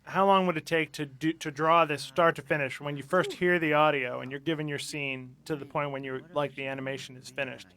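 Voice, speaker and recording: A man's voice: a little high, fairly even intonation, fairly quick, few pauses, sharp tone. A man hosting a casual commentary chat, asking questions and teasing the others with dry jokes. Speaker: interviewer on a commentary track.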